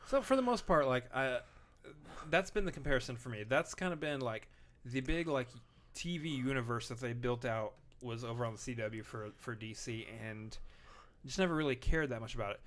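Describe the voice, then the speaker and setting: A man co-hosting a live-streamed chat, speaking quietly and rambling with plenty of fillers and restarts close to the microphone. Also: medium pitch, lively intonation, normal speed. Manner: not boisterous, quieter delivery. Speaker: podcast co-host.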